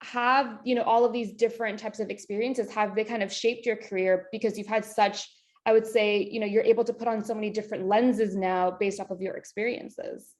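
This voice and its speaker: Woman host, bright and enthusiastic interviewer, casual, mid-range pitch. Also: fairly even intonation, brisk. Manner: enthusiastic, upbeat, uses fillers.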